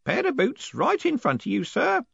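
An eager, servile old postman from a storybook. His hoarse voice sits high and swoops about freely as he fusses deferentially and conspiratorially. The audio is a little dull. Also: huge pitch swings, moderate pace, fairly bright tone, no room sound, full character voice. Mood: urgent, coaxing. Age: older. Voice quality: hoarse whispering. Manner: servile, punctuating speech with "sir".

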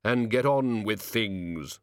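Male narrator as solemn supernatural being, ponderous slow delivery, formal, earnest, storybook figure, dry recording. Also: fairly low pitch, clean tone, dry recording.

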